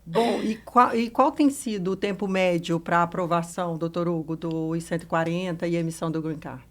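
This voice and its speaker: A woman's voice: lowish voice, steady tone, moderate pace. A woman with a Brazilian accent hosting a podcast and asking questions in a conversational way. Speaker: podcast host. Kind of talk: conversational interviewing.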